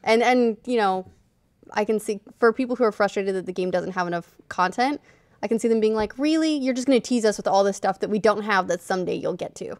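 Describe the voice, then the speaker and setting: Clear smooth-voiced woman on a podcast. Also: medium pitch, quick pace, darkish tone, dry recording. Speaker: podcast co-host. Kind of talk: casual gaming chat. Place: podcast studio. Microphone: desk studio microphone, close.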